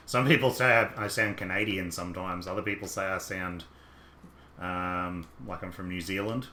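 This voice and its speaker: An Australian man with a low, deep voice and a bright, forceful edge, chatting in an easygoing, rambling way as he plays through a game on a live stream. His voice rings in a roomy space with a faint hiss underneath. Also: steady tone, normal speed. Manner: rambling, easygoing, talks to himself about the game. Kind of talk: casual gameplay commentary chatting with live viewers.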